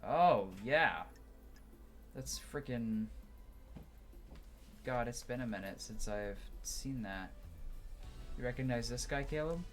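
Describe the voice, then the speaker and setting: A man's voice: medium pitch, expressive, slow pace, long pauses, faint music behind. A man hosting a gaming playthrough, chatting casually in slang and thinking aloud as he plays. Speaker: gaming video host.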